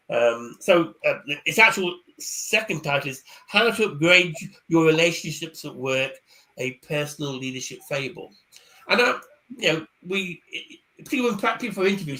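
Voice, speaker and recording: Bright, halting man on a call. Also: a little high, low-quality recording. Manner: hesitant, repeats words, then speeds up. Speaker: career coach guest speaker. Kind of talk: casual chat on a live online panel. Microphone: video call.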